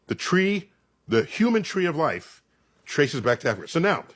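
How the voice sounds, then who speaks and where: A man explaining science in conversation as a guest, emphatic and theatrical, telling it like a story with dramatic pauses and check-in questions. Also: a little high, sing-song.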